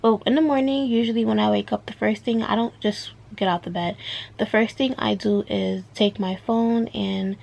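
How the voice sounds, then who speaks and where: A woman of nineteen from New York, vlogging her morning routine at home in a relaxed, rambling way, her voice raspy from just waking up. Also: medium pitch. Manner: chatty, drawn-out, filler-heavy talk. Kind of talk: casual morning-routine vlog. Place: at home, bedroom and bathroom.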